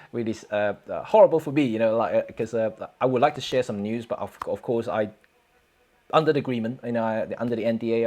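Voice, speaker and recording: A man's voice: mid-range voice, darkish tone. Chatty man. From Hong Kong. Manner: chatty, halting with many fillers and restarts, chuckles often. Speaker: photography livestream host. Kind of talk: casual livestream chat reading viewer comments.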